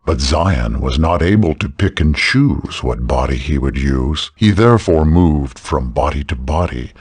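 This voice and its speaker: A man's voice: very deep voice, animated, a little slow, a little mellow, breathy edge, no room sound, exaggerated voice. A man voicing a grave, wise old lord in a fantasy game, speaking in a solemn, formal manner.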